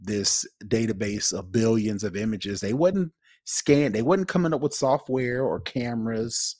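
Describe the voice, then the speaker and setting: Low-pitched man on a podcast, dry sound. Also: measured pace, a little mellow, dry recording. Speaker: podcast co-host. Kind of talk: casual opinionated commentary.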